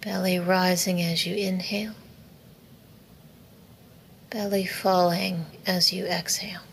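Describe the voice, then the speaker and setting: A woman leads a guided relaxation at a very slow, measured pace. Her voice sits fairly low with a gravelly creak, and her phrases end softly on breath. She gives each instruction in a soothing way. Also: little pitch movement. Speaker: yoga nidra meditation teacher. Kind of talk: guided meditation. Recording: faint background noise.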